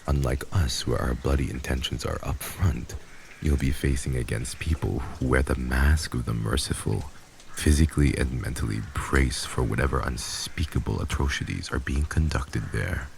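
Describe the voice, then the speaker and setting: Vampire mob boss for ASMR drama: abyssally low, thick growling fry, heartless, grave, authoritative. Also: male voice, a little hiss or hum. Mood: stern, commanding. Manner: formal, grave, measured, commanding. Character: heartless, commanding male vampire lord and mafia boss. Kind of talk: scripted ASMR roleplay audio drama.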